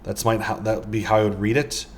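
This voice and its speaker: A man's voice: on the low side, flat delivery, fast, a little hiss or hum. A man casually talking through items in a game shop, rambling with lots of ums and uhs. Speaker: vlogger. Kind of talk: casual walkthrough commentary.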